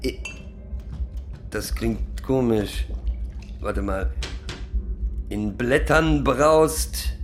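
A halting, slow-talking barroom reciter. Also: male voice, medium pitch, creaky, a bit bright, heavily acted. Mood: flustered. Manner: halting, digressive, self-correcting, lecturing. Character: rambling middle-aged male pub drinker, proudly sentimental, reciting poetry. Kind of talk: theatrical monologue with halting recitation.

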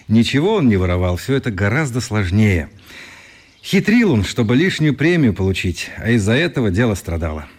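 A man's voice: on the low side, sing-song, a little fast, slightly rough. An actor playing a politely defiant, ironic male newspaper correspondent in a radio play, speaking in courteous, articulate phrases. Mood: reassuring. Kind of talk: radio drama acting.

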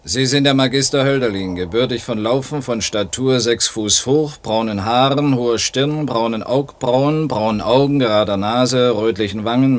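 An actor playing a stern, suspicious border official in a radio drama, curt and bureaucratic. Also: male voice, medium pitch, close to an everyday voice. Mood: official, flat.

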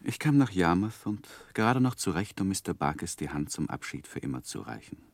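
Deep, close-miked male narrator, sorrowful and reflective, grave dramatic pauses, 1960s radio play. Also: sing-song, no room sound. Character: grown man sorrowfully recalling his own past, reflective, haunted.

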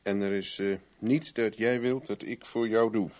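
A man's voice: on the low side, steady tone, moderate pace, darkish tone, lightly acted. Man playing a wary, dry private investigator. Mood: suspicious. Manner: casual, guarded, probing with short questions. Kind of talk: Dutch radio play acting.

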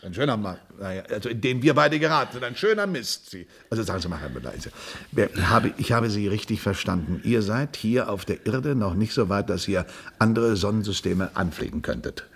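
A man's voice: on the low side, lively intonation, brisk, vocal fry, slightly rough, exaggerated voice. An actor in a comic radio play playing a flustered, stammering astronaut, a fussy civil servant nearing his pension. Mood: conspiratorial. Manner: stammering, hesitant, fussily exasperated.

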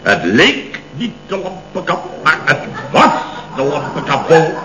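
An actor playing a blustering builder boss of fifty in a radio comedy, exclaiming, repeating himself and stammering when flustered. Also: male voice, on the high side, very animated, a little slow, a crowd in the background. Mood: exasperated. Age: 50s. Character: blustering fifty-year-old male boss of a building firm. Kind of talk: 1970s radio comedy acting. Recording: dull old radio comedy recording.

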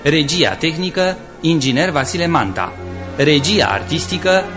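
Formal male radio announcer, lively pitch. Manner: formal, deliberate. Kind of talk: formal scripted credits reading. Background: music.

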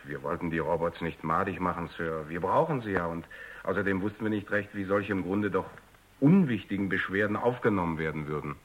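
Young scientist, fairly low voice, hesitant, defensive, irritable undertone, radio drama. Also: male voice, fairly quick. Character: young male physicist, unsure, defensive and irritable. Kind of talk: German radio drama acting.

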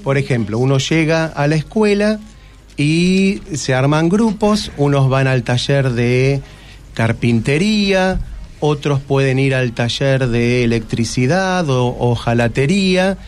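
An Argentine man with a Rioplatense accent talks as a school guest in an informal interview. His voice is of middle pitch, and he lays out his explanation step by step. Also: animated, a little slow, slight background noise. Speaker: school staff member, guest.

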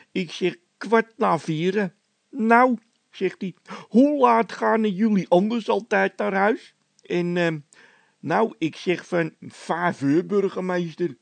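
A man doing a high-pitched, indignant, scornful workman. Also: vocal fry, no room sound, exaggerated voice. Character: hot-tempered, mocking workman with a scolding streak. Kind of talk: character voice in a read-aloud comic story.

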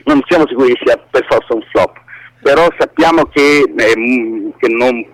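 A man's voice: medium pitch, normal speed, slight background noise, low-quality recording. A man with a Roman Italian accent giving a casual, unscripted interview as a screenwriter, rambling and correcting himself in colloquial speech.

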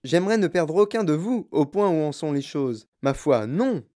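A storyteller voicing a sprightly, mischievous old chocolatier with a clear, fluty voice, excitable and effusively polite, in an audiobook. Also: medium pitch, normal speed, natural voice. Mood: delighted. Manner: excitable, repeats himself in courteous bursts.

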